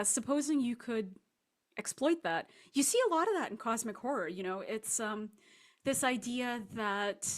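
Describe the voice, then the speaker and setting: A woman speaking as an author guest in a casual, reflective interview, animated and thinking aloud as she explains her writing. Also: medium pitch. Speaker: author guest discussing her short story.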